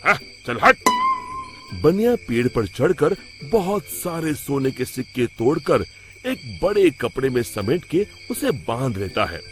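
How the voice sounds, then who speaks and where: A man's voice: medium pitch, big pitch swings, a little slow, music in the background. A man narrating a scripted moral story for a cartoon as storyteller.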